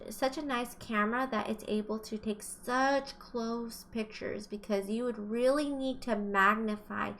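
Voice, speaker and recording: A woman teacher reading aloud to young children, explaining as she goes and asking questions in an exaggerated, encouraging way. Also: on the high side. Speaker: kindergarten teacher.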